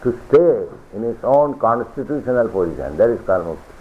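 A man giving a philosophical sermon as a spiritual teacher, lecturing deliberately, repeating phrases and reciting scripture. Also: medium pitch, big pitch swings, a little hiss or hum. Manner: deliberate, repetitive lecturing with chanted scripture quotes. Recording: tinny lo-fi archival recording.

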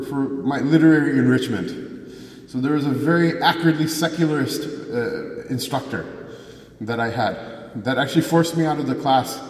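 A man's voice: mid-range voice, darkish tone. A man delivering a Friday sermon who asks rhetorical questions and quotes scripture in Arabic. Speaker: preacher giving a Friday sermon. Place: mosque prayer hall. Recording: echoey hall, voice from far off.

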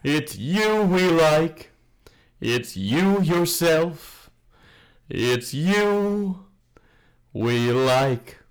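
Earnest guy in a radio comedy, talks slow, kinda high voice. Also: lively intonation, clear, resonant tone. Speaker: podcast performer. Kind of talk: comedy radio play acting.

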